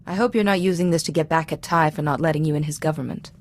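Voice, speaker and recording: A composed Jedi mentor from a video game, in a slightly low voice at a quick pace. She is principled and gently admonishing. Faint ambient noise runs underneath. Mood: calm, advising. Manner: composed, measured wording, advisory. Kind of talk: video game character dialogue.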